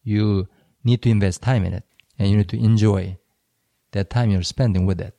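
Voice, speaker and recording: Gravelly, low-voiced man giving calm advice. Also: normal speed, no echo. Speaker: podcast co-host. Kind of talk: casual conversational podcast.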